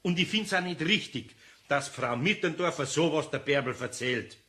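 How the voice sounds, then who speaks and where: An actor playing an older, good-natured, preoccupied carpenter with a Bavarian accent, grumbling colloquially in a German children's radio play. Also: male voice, fairly high pitch, animated, normal speed, bright, forceful tone. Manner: colloquial, absent-minded muttering. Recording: roomy, faintly noisy cassette recording.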